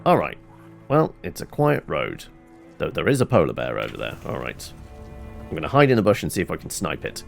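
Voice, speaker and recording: A man's voice: deep voice, huge pitch swings, fairly quick, creaky, faint music behind. A man giving casual video game commentary, rambling and thinking aloud to himself. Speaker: gameplay streamer.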